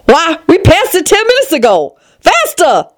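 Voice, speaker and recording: A woman voicing a brisk, bossy but good-natured queen in a storybook, with an imperious, matter-of-fact manner. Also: very high-pitched, wildly swooping pitch, voice pushed up, heavily acted. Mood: urgent, commanding. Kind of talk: storybook character voice.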